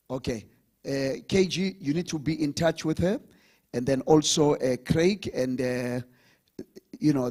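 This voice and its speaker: Booming event host. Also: male voice. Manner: loud, rallying the audience, switches languages. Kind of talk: live event emceeing. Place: conference hall with audience.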